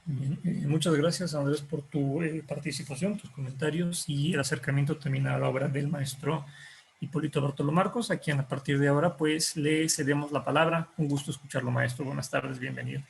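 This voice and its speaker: A man moderates a panel in a courteous manner. His slightly high voice has a gravelly edge, and faint background noise sits under it. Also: fairly even intonation, fairly quick. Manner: courteous, with fillers.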